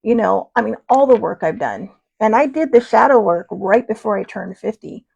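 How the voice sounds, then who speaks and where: Gravelly-voiced woman in her fifties. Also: medium pitch, animated, moderate pace, a little mellow, low-quality recording. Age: 50s. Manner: rambling, chatty, full of fillers and restarts. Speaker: host. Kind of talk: casual livestream talk answering viewers.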